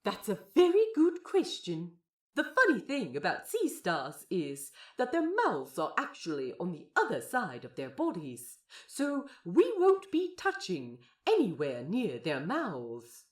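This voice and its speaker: A woman voicing a gentle, fussy male aquarium guide in a fan fiction audio drama, courteous, teacherly and softly enthusiastic. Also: lowish voice, wildly swooping pitch, a little slow. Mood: patient, encouraging.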